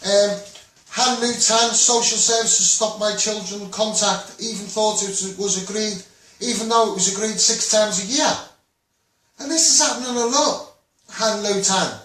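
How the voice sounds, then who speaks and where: A man's voice: high voice, measured pace, a bit bright. A man with a Scouse accent, a campaigner giving a blunt, rambling, impassioned monologue to online viewers, often repeating himself. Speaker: children's rights campaigner. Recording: very echoey room, far from mic.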